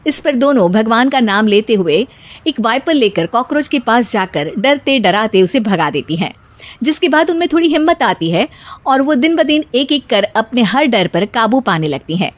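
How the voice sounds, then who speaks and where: Lively woman cartoon narrator. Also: fairly high pitch, moderate pace, dry recording. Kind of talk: scripted storytelling narration.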